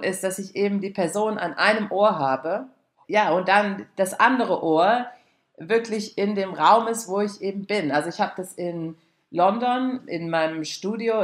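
A woman from Frankfurt speaking reflectively in an interview, searching for words in long, loose sentences. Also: medium pitch. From Frankfurt, Germany. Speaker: artist, interviewee. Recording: roomy echo around the voice.

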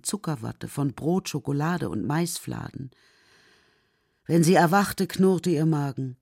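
A woman narrating a children's radio play in a measured, dramatic storytelling manner. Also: sits low, darkish tone, no room sound, studio quality. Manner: measured, dramatic literary reading.

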